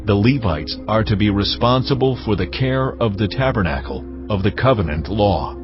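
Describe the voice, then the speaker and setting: A man's voice: on the low side, animated, normal speed, close to an everyday voice. A man playing God as a solemn, authoritative lawgiver in a dramatised scripture reading. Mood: solemn, commanding. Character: God, commanding, solemn male divine lawgiver. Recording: slightly dull audio with music underneath. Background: faint music.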